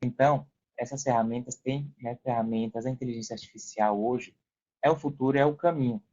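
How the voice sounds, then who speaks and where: Fast-talking Brazilian man, dull audio. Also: medium pitch, steady tone, dark tone, a little hiss or hum. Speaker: podcast guest, affiliate seller. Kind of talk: casual conversational explanation. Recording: dull, band-limited sound.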